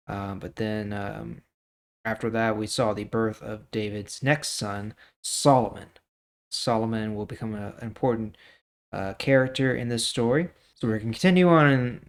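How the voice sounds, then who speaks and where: A man's voice: mid-range voice, creaky. A man hosting a Bible reading, moving between plain reading and casual, explanatory commentary. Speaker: host of a daily Bible reading series. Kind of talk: scripture reading with informal commentary.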